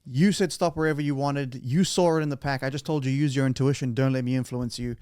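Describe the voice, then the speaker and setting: A man's voice: medium pitch, fairly even intonation, quick pace, mellow, clean tone, no room sound, studio quality. A man hosting a podcast, chatting casually and playfully with his guest, often restarting his sentences. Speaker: podcast host.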